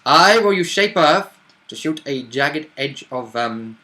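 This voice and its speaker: A man's voice: on the high side, animated, a little slow, sharp tone. A man in a casual chat, recapping a tabletop game as a player and co-host in a blunt, slangy, joking way.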